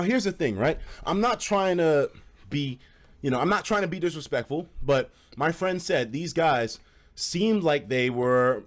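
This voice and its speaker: A man streaming casual, animated stories to a live chat, with slangy, profane phrasing and dramatic emphasis. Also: on the high side. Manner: profane, slangy, acts out quoted dialogue; dramatic emphasis and repetition for suspense.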